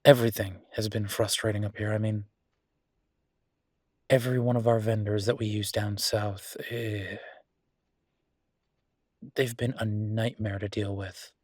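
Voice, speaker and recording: A man's voice: on the low side, little pitch movement, measured pace, long pauses, darkish tone, no echo, studio quality. A man playing a frustrated, affectionate restaurateur boyfriend in an intimate roleplay audio drama, talking conversationally and hesitantly to his partner. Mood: venting, appreciative. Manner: conversational, hesitant, exasperated but fond.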